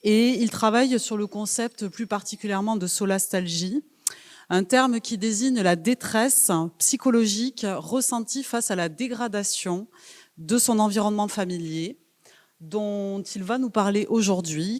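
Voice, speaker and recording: A woman serves as conference moderator, presenting the next speaker's background. Her pitch sits in a middle range, and she talks with frequent fillers and small pauses. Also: darkish tone, in a large hall. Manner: hesitant, with many fillers. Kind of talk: introducing a speaker.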